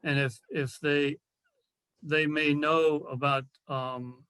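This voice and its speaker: A man speaking informally and haltingly in an online club meeting, often repeating his words as he makes a suggestion. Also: a little high, steady tone, a bit bright, clear, resonant tone, no echo. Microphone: video call.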